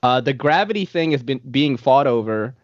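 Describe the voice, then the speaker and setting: A man in his late thirties hosts a loose podcast chat, easygoing and quick to laugh, his speech full of "like" and "man". The recording is dry and a little dull, lo-fi with no room sound. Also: mid-range voice, lively intonation, dry recording. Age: 30s. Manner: laid-back, laughs often, says "like" and "man" a lot. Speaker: podcast host. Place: New York City.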